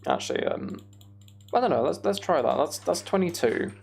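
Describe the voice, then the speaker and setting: A man's voice: fairly high pitch, wildly swooping pitch, moderate pace, gravelly, darkish tone. A British man chatting casually on a livestream while he plays a game, rambling and thinking aloud. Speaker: streamer.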